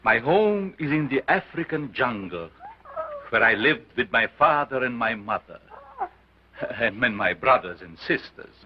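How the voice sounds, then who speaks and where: An actor playing a grandiose sideshow scientist who declaims in a pompous, theatrical way, on a dull old film soundtrack. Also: male voice, medium pitch, expressive, a little slow, slight background noise, close to an everyday voice. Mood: solemn. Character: grandiose male carnival scientist, commanding and theatrical.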